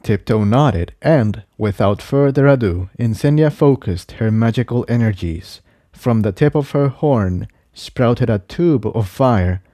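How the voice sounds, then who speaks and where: A man's voice: lowish voice, mellow, dry recording, clean studio sound. A man narrating an adventure story from a script. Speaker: fan fiction reader, narrator.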